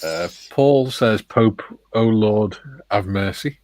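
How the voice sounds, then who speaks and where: Male stream host, low dry voice, jokey chuckling delivery reading chat aloud. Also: slow, a little mellow, dry recording.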